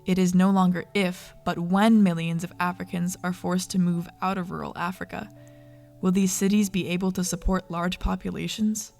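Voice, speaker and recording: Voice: mid-range voice, level tone, moderate pace, gravelly, a little mellow, faint music behind. A documentary narrator reading a scripted narration in a grave, urgent tone.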